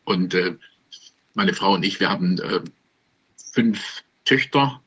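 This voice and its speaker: A man's voice: low-pitched, slow pace, a bit bright, breathy edge, rough recording. A man in his seventies with a Swabian accent, introducing himself as a guest over a video call with dry humor. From Stuttgart, Germany. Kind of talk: relaxed self-introduction with dry jokes.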